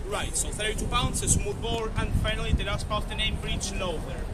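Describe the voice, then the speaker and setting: High-voiced male guide with the flu. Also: fairly quick. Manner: explanatory, tags sentences with "okay?", wry asides. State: has the flu. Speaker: tour guide at a gun battery. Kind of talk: live guided demonstration. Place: outdoors at a harbour battery. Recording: distant voice with loud outdoor noise.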